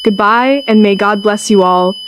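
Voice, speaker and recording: A woman playing a solemn government announcer, reading a scripted doomsday emergency broadcast in a formal, measured way. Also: medium pitch, background noise, natural voice. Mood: solemn, grave.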